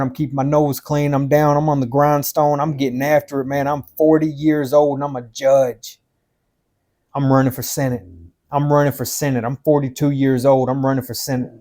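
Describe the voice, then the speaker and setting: A man's voice: mid-range voice, level tone, moderate pace, dark tone. Blunt, emphatic man in his fifties. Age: 50s. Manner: blunt, emphatic, repeats himself, calls the listener "man" and "dude". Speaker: podcast host giving life advice. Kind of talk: motivational advice talk.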